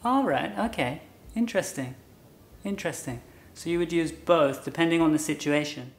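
A woman's voice: low voice, moderate pace. A woman with a British accent, an English teacher casually explaining usage, recorded with an echoey room sound.

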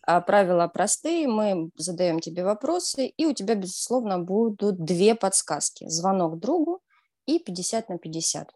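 A woman hosting a radio quiz with an upbeat, teasing delivery and a mid-range voice. She reads out questions and answer options briskly. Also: medium pitch, big pitch swings, darkish tone. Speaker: radio co-host, quiz presenter.

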